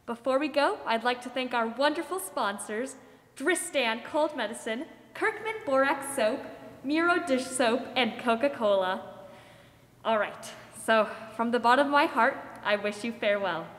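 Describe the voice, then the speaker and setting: A woman's voice: fairly high pitch, moderate pace, a bit bright, natural voice. A teenage girl playing a gracious woman host of a 1940s radio show. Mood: gracious, sincere. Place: theater stage with microphones on stands. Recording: echoey hall stage pickup.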